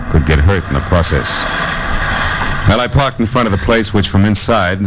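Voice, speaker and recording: An actor playing a tough, world-weary American private detective in 1940s radio noir, wry and clipped. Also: male voice, low-pitched, low-quality recording. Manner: hardboiled, wry, clipped delivery. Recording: old radio drama with music behind.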